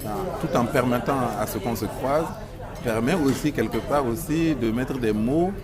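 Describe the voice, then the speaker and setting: A man's voice: mid-range voice, normal speed, a loud crowd. A man whose first language is not French, answering questions in an interview with emphatic, building phrases.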